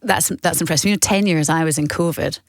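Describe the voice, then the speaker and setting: A woman's voice: deep voice, fast, no echo, clean studio sound. A woman, a radio presenter asking chatty questions with eager interjections. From United Kingdom.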